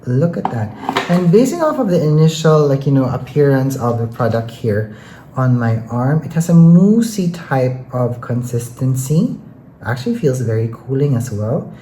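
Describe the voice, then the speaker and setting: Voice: mid-range voice, big pitch swings, normal speed, mellow. A beauty vlogger chatting enthusiastically and casually through a makeup product review, often dropping in filler phrases like "you know". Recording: roomy echo, faint background noise.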